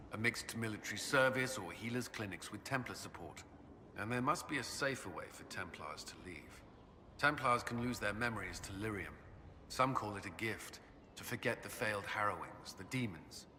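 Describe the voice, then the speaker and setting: An actor playing an earnest, haunted military commander and former knight who is tender in love, in a video game cutscene. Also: male voice, fairly low pitch, bright, forceful tone. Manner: halting and hesitant when speaking personally. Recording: long reverb, background noise.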